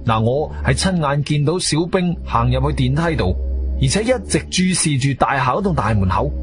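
A male actor playing an agile, intense adventurer who narrates his own radio drama in suspenseful Cantonese. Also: a little high. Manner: animated, suspenseful storytelling. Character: agile martial-arts-trained adventurer, urgent and intense. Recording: studio radio drama with music behind.

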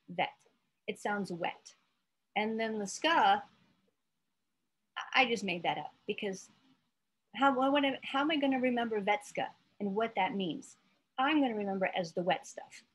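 An American woman in her late forties giving an informal, halting lesson with storytelling, thinking aloud as she explains. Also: mid-range voice, husky. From United States, Iowa. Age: late 40s. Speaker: therapist presenting an educational video letter.

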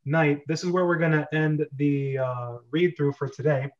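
A man's voice: a little high, moderate pace. Young guy hosting a script read over video call, lightish voice, kinda dull lo-fi sound. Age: young adult. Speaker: host and narrator of a script reading club. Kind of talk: reading stage directions and chatting casually. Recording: dull lo-fi webcam audio.

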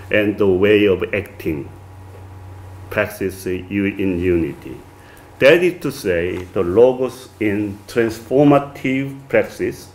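A middle-aged man with a strong Korean accent giving an academic lecture at a podium, reading from a manuscript with many halting "uh" fillers. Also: fairly low pitch, darkish tone. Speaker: visiting lecturer. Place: wood-paneled reception room, indoors.

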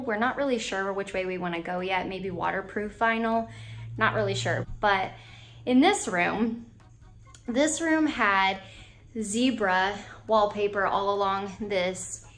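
An American woman in her twenties giving a chatty, informal walking tour for a vlog, thinking aloud as she talks in empty indoor rooms. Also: mid-range voice. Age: 20s. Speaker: vlogger giving a house tour. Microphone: handheld camera or phone at arm's length.

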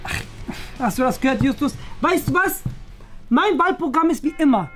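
A woman's voice: sits high, expressive, normal speed, faint music behind. A woman voicing a cocky, boastful, sporty schoolboy who sounds smug and self-important in a children's school story.

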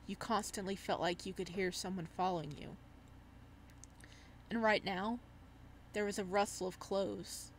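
A woman's voice: mid-range voice, a little hiss or hum. A woman playing a visual novel, reading its text aloud and reacting expressively as she goes. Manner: expressive, animated reactions between read lines. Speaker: streamer, let's-player.

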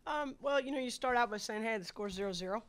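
A middle-aged woman coach answering press questions after a game at a table mic, steady and measured, with many filler words. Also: on the high side. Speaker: head soccer coach. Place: press conference room at a table. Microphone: table microphone.

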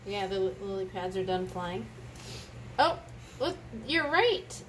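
A woman's voice: medium pitch, sing-song, measured pace. A woman chatting casually through a picture book read-aloud with a child, exclaiming and prompting with questions. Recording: distant mic with clear background noise.

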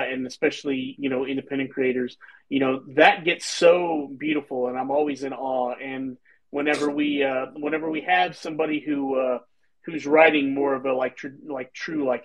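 A man's voice: mid-range voice, poor audio. A man in his thirties hosting a casual video call panel as an editor, talking in long rambling sentences full of fillers and restarts. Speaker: host and publisher's editor. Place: home room with bookshelves. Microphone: video call webcam.

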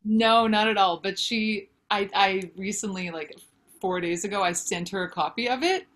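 A woman's voice: medium pitch, normal speed. A woman in her thirties, a singer-songwriter and author, talking candidly and animatedly over a video call from home. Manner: candid, animated, gesturing with hands. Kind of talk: casual interview chat. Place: home room with brick wall.

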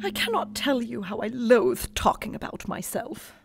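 An actress playing a sweet, selfless young Victorian gentlewoman confiding in someone in a radio play. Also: fairly high pitch, very animated, moderate pace, vocal fry. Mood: sweet, weary. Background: music.

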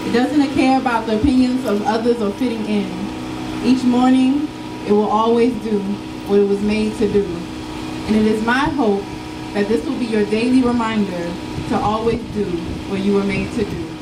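A woman in her twenties reads poetry in a fairly high voice. The sound is echoey and outdoors, with clear background noise. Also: fairly even intonation, moderate pace, distant mic. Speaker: poet. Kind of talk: poetry reading. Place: outdoors by a chain-link fence. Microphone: handheld microphone.

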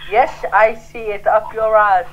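Slow, deadpan prank-call shop worker acted by youngster. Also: medium pitch, lightly acted. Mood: deadpan, cheeky. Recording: dull lo-fi voice chat, faint noise.

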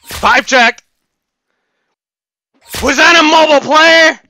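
Over-excited taunting shooter-game announcer played by a man, extremely shrill and forceful, bone-dry recording. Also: slow, no echo, exaggerated voice. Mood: gleeful taunting. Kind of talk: shouted game voice lines.

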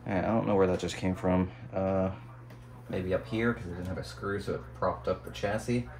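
An American man narrating his hobby restoration work casually and unscripted, musing out loud as he goes. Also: low voice, fairly even intonation, brisk. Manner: musing, thinking aloud. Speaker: hobbyist radio restorer presenting a video. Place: small indoor home workshop. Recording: faint room noise.

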